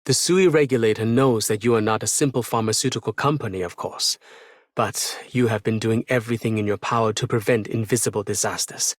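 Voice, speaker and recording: A man's voice: fairly low pitch, steady tone, fairly quick, dry recording, studio quality, lightly acted. A man playing a formal, dignified official in scripted video game voice lines. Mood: formal, assured. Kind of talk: scripted game character voice line.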